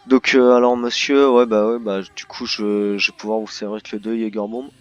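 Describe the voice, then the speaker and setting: Polite low-key man, dull lo-fi audio. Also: little pitch movement, normal speed. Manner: polite, courteous, formal with customers. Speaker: player acting as a bartender in a roleplay game. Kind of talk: improvised in-character customer service chat. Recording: dull lo-fi voice chat, music behind. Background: music.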